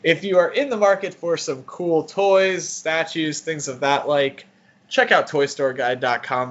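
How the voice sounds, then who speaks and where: An easygoing man co-hosts a casual podcast, dropping joking asides as he goes. His voice sits at a middling pitch. The audio is slightly dull and lo-fi. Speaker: podcast co-host.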